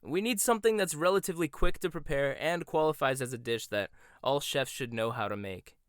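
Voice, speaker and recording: A man's voice: on the high side, fairly quick, clean tone, no room sound, close to an everyday voice. Man playing a smug rival chef, close and dry. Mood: bossy. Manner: teasing, smug, laughs often. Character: cocky rival male chef, condescending and competitive. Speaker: voice actor. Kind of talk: boyfriend ASMR roleplay.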